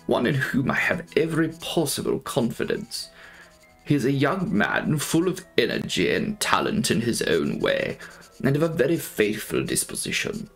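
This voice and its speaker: A formal senior solicitor, voiced by a male reader in a storybook reading. His measured phrases move over a very wide pitch range, with a creaky edge. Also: fairly bright tone. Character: gout-stricken senior English solicitor writing formal letter. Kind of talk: dramatic audiobook reading.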